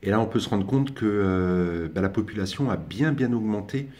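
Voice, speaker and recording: A young man speaks in a deep, low voice, talking casually about his hobby while he films. He hesitates often, trailing off and restarting his sentences with filler sounds. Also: expressive, moderate pace, warm tone. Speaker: ant-keeping hobbyist vlogger. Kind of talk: casual spoken commentary over filming.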